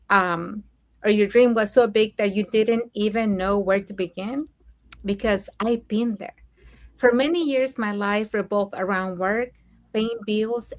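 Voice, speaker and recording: A grandmother from Mexico co-hosting an online business talk show, speaking earnestly about her own experience. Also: medium pitch. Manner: earnest personal storytelling. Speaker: co-host.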